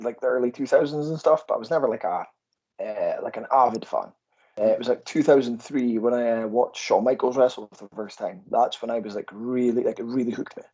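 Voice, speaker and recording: Husky Aberdeen man on a lo-fi line. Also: medium pitch, fairly quick, a little mellow. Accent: Aberdeen Scottish. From Scotland. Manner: easygoing, filler-heavy, enthusiastic. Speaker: wrestler guest. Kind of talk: casual interview chat.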